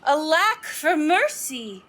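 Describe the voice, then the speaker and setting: An actress playing an innocent, wonderstruck young maiden, quick to love, in a Shakespearean audio drama. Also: female voice, high-pitched, sing-song, a bit bright, exaggerated voice. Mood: pleading.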